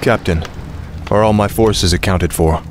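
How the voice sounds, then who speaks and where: An actor playing a resolute young warrior prince with a commanding manner in a video game cutscene. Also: male voice, sits low, unhurried, soft background music.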